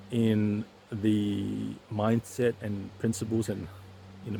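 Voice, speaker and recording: Low gravelly man who stutters. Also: dark tone, slight background noise, rough recording. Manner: stutters, with repeated sounds and restarts. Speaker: YouTuber sharing stuttering advice. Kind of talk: casual vlog talk to camera. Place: outdoors on a nature hike. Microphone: weak handheld setup, shaky.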